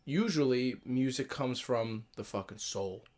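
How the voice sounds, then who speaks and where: A man's voice: mid-range voice, a little slow, crowd noise. Young guy hosting a chat show, hyped up, swears a lot, mid voice. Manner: excitable, swears freely, talks straight to listeners. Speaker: podcast host. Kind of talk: casual chat.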